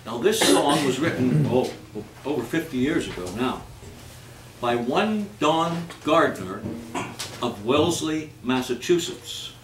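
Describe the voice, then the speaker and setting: A man's voice: on the high side, expressive, unhurried. Male host for live holiday readings and quiz banter, theatrical and playful, heard from a distance in a noisy, echoing hall. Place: indoor community event before an audience. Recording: echoey room, distant mic, noisy audience.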